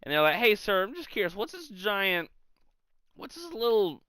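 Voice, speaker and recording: A man co-hosting a podcast, telling comic anecdotes in a casual, animated, sweary way. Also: sits high, normal speed, smooth, no echo, clean studio sound. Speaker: podcast co-host.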